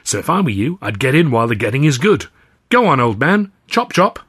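Man acting a jaunty, cheeky young Englishman, slightly husky. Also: medium pitch, big pitch swings, brisk, a bit bright, dry recording, clean studio sound, voice pushed up. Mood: jaunty, coaxing. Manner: chummy, jaunty, old-fashioned slangy patter. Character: cheerful, mischievous young Englishman, cheeky pal.

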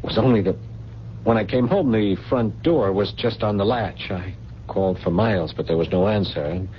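An actor playing a nervous, resentful, scheming son in a 1970s radio drama, halting and evasive in his talk. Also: lowish voice, lightly acted. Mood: uneasy, evasive. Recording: muffled, noisy old radio broadcast.

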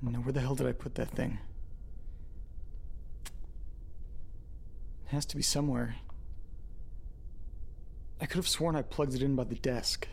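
An actor playing an anxious, weary overthinker who mutters to himself haltingly in a horror audio drama. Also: male voice, medium pitch, fairly even intonation, creaky, breathy edge. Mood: puzzled. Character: anxious, weary, overthinking man living alone with pet.

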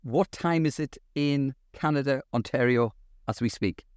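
A man hosting an interview podcast, speaking in formal, measured phrases. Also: mid-range voice, darkish tone, clean tone, no echo. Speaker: podcast host, university lecturer. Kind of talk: scripted introduction and interview questions.

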